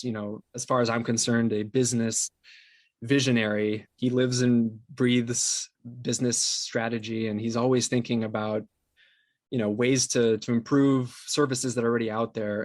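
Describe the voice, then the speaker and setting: A man answering interview questions conversationally as a guest, explaining things with repeated words and trailing pauses. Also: mid-range voice, dry recording. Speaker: interview guest, podcast co-founder and sound designer.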